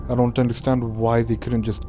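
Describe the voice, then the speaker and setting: Mellow lo-fi male gamer commentary. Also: medium pitch, little pitch movement, a little fast. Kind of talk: casual let's play commentary. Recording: dull lo-fi mic, faint game music.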